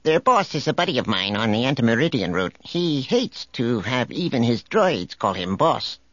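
A man's voice: mid-range voice, big pitch swings, moderate pace, a bit bright, no room sound, dull audio. A man playing a wary, streetwise alien news reporter in a sci-fi audiobook, talking informally like a hustler. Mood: smooth, bluffing.

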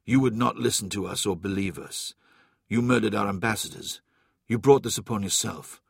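A calm, resolute warrior captain , acted by a male audiobook reader, speaks with quiet, measured firmness. The voice is husky and creaky, recorded dry and close. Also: on the low side, no room sound, pitched below the performer's own voice, heavily acted. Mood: calm, reasoning.

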